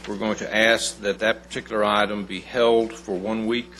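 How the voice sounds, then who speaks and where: A man's voice: fairly low pitch, flat delivery, moderate pace, a bit bright, slight background noise, low-quality recording. A man giving agenda announcements to a county court in a plain, businesslike way. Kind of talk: agenda announcements to a public meeting.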